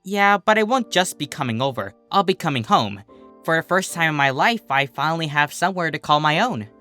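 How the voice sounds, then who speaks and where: A man's voice: on the high side, lively intonation, a little fast, sharp tone, faint music behind, voice pushed up. A man voicing a brash, defensive, teasing young female athlete pony with casual, slangy, huffy delivery. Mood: tender.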